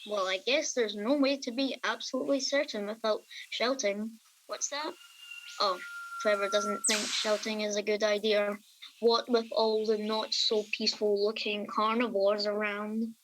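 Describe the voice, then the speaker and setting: A woman's voice: mid-range voice, flat delivery, measured pace, a bit bright, a little hiss or hum, close to an everyday voice, poor audio. A woman playing a chatty, reckless time-travelling field correspondent with a fussy, theatrical manner in a radio comedy. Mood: chipper. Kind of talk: radio comedy acting as a live report.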